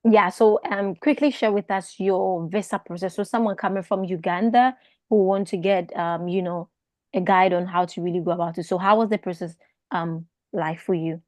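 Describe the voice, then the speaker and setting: A woman hosts a live online Q&A and calls on her guests. Her voice is dark and mellow, recorded dry and close, and she prompts the guests in a quick, casual way with fillers. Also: lowish voice, fairly even intonation, no room sound. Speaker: host.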